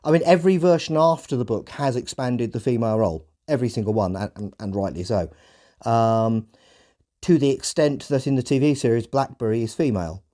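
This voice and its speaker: A middle-aged British man hosts a casual podcast discussion. His voice is dark and relaxed at an average pitch. He speaks reflectively and a little tentatively, feeling his way through thoughts. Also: a little fast. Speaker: podcast host.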